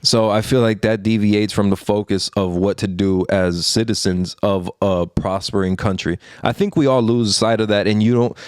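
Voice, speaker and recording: A man's voice: lowish voice, steady tone, normal speed, few pauses, clear, resonant tone, no echo, clean studio sound. A man in his thirties co-hosting a podcast, giving his opinions in a rambling, earnest way with many fillers and restarts. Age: 30s. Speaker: podcast co-host. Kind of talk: casual opinion chat.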